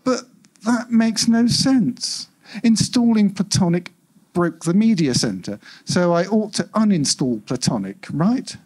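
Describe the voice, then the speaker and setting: A man's voice: sits high, animated, measured pace, a little mellow, rough recording. A man voicing an exasperated, self-important home AI in a live story reading, complaining indignantly and emphatically.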